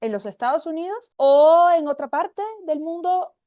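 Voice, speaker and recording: Lady podcast host, soft mellow tone, a bit high, dry close sound, reflective questions. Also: expressive, normal speed, dry recording. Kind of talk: conversational interviewing.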